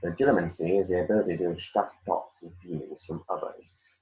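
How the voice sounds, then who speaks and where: A man acts out a disdainful wizard professor in a fantasy script read. His voice sits very low, and his speech is formal, curt and condescending, cold through and through. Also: steady tone, a little fast, a little mellow, lightly acted, poor audio. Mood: stern lecturing.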